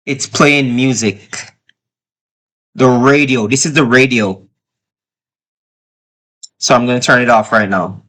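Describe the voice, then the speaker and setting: Guy doing a casual vlog review, medium pitched voice, kinda halting, repeats himself to make the point. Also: fairly bright tone, poor audio. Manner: repeats phrases for emphasis, halting and explanatory. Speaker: product reviewer. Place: child's bedroom at home.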